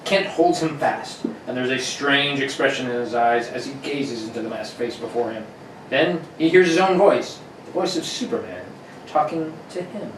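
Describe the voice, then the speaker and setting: A man's voice: mid-range voice, animated, normal speed. A man giving dramatic scripted narration in the style of a 1940s radio serial. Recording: roomy, distant microphone with faint noise.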